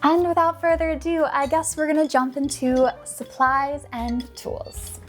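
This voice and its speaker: A young woman casually chatting through a clay tutorial in her small home studio, a little hoarse with some vocal fry, upbeat, often dropping to a whisper. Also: high voice, little pitch movement, unhurried, soft background music. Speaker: polymer clay artist and small business owner.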